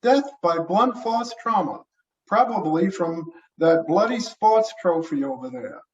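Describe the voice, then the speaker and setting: A businesslike female medical examiner in a mystery story, read by a man. The voice is lifted high and gets to the point. It sounds roomy and a little muffled, away from the microphone. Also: lively intonation, a little slow, a little hiss or hum. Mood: matter-of-fact. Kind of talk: mystery short story reading. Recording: roomy, muffled, distant mic.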